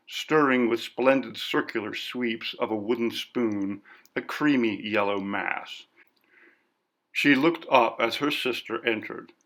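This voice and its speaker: A man reading a story as scripted narration in a measured, literary delivery. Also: mid-range voice, a bit bright. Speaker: audiobook narrator.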